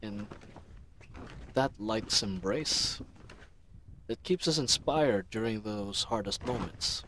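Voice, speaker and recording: A man playing an earnest, chatty knight captain in a fantasy audio roleplay, reflective and rambling, over clearly audible ambient sound effects. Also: on the low side, lively intonation, slow, a bit bright, slight background noise, low-quality recording. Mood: wistful, earnest. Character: earnest, chatty young male knight captain, idealistic and teasing. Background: added outdoor ambience sound effects.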